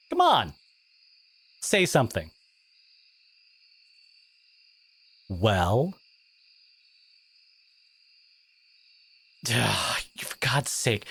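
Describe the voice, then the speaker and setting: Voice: medium pitch, dry recording. An actor playing a brash teenage school bully who secretly cares, in an exasperated, confrontational audio drama monologue addressed to the listener. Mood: exasperated, goading. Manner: exasperated, confrontational, sighing, emphatic.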